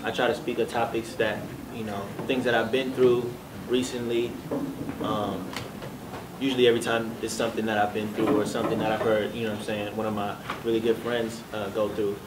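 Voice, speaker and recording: A man's voice: on the low side, little pitch movement, a little fast. Emphatic man speaking in an echoey room. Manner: emphatic, repeats "you know what I'm saying". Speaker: panelist, music artist. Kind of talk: casual panel talk. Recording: echoey room, distant, clear background noise.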